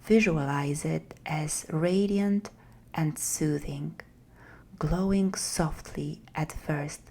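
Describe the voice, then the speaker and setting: A woman guiding a meditation in a soft, breathy, half-whispered voice, gentle and soothing with long pauses. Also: low voice. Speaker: meditation guide. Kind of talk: guided meditation, slow scripted narration.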